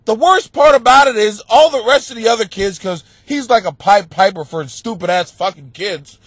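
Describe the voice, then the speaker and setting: A man and comic hosting a podcast, with a stuffed-up nose, telling stories in a profane, animated rant that breaks into shouting. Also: high voice, moderate pace, no room sound. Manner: animated, swearing, bursts into shouting. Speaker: stand-up comic and podcast host. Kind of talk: profane comedic rant monologue.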